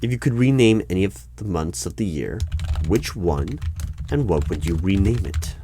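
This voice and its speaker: A man doing an ASMR interview roleplay, asking questions in a deadpan, clipped manner. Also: deep voice, moderate pace, a little hiss or hum. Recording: keyboard typing heard throughout. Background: keyboard typing and clicks.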